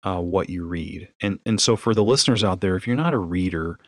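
Low-voiced man, emphatic podcast chatter. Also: brisk, dry recording, clean studio sound. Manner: emphatic, addresses listeners directly. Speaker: podcast co-host. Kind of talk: casual chat about books.

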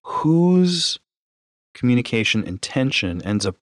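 A man's voice: medium pitch, unhurried, no echo, studio quality. A man hosting a podcast, teaching conversationally through anecdotes, acting out dialogue and posing rhetorical questions. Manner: animated storyteller, acts out dialogue, asks rhetorical questions. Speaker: podcast host.

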